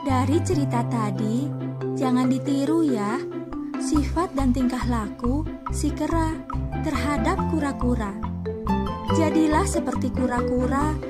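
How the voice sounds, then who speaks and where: A woman storyteller talks directly to young children, giving a simple moral. She speaks high and slowly. Loud music runs underneath.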